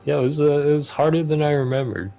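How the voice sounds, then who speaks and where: A man's voice: fairly high pitch, fast, gravelly, warm tone. A young man chatting casually and a little hesitantly as a co-player in a gaming video. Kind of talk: casual chat. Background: game music.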